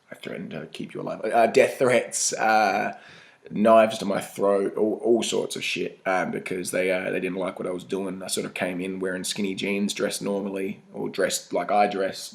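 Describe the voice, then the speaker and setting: A young Australian man speaks in a low voice with a gravelly, creaky edge. He talks informally in slang, as if chatting to a documentary camera about his own experience. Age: young adult. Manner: informal, slangy, mild swearing. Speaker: battle rapper being interviewed. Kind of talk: casual documentary interview.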